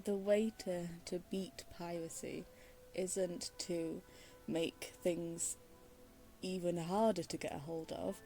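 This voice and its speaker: Casting: woman game streamer, mid-pitched soft dark tone, slow, rambling, casual commentary. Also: vocal fry, poor audio. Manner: hesitant, rambling, giggly. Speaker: gaming streamer.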